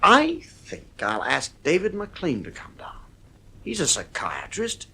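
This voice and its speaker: A man's voice: on the high side, wildly swooping pitch, slow, gravelly, pushed and bright, breathy edge, exaggerated voice. An actor playing an exasperated, stern father in an audio drama, with a clipped, blustering manner. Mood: weary, suspicious. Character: exasperated stern father in a dystopian future household.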